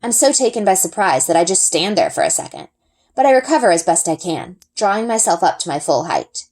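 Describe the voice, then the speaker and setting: A woman narrating a children's book as an expressive read-aloud. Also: fairly low pitch, fairly quick. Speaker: story reader.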